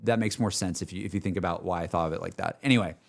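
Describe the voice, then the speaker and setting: A man's voice: low-pitched, very fast, vocal fry. A man giving a casual, rambling livestream reaction, peppering his talk with filler words like a laid-back chat among friends. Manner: rambling, heavy use of "like" and "dude". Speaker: streamer reacting to game music.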